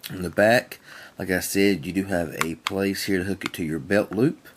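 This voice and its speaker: Man, deep-pitched voice, casual demo, plain-spoken, down-home, circles back over points. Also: steady tone, moderate pace, background noise. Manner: plain-spoken, folksy, rambling and repetitive. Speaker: reviewer demonstrating a small weather radio. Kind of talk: casual product demonstration.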